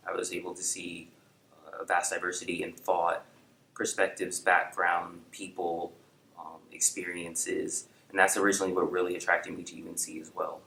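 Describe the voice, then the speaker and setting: A young man in his late teens, a college student, speaking in a measured, articulate way. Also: low-pitched, vocal fry, bright, forceful tone, roomy sound, slight background noise, rough recording. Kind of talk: interview answer. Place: indoor room before a campus backdrop.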